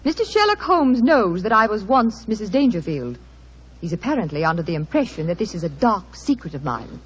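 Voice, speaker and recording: Poised lady, expressive pitch. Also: brisk, slightly rough. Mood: defiant composure. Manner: composed, formal, firmly polite. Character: poised, defiant married society woman. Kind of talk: 1940s radio acting. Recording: noisy vintage radio recording.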